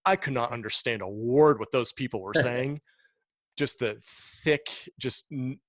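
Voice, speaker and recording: A young American man hosts a podcast in an easy, chatty way, with enthusiasm in his voice. His pitch sits in the middle, and the recording is dry, with no room echo. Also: normal speed. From United States. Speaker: podcast host. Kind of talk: casual interview chat.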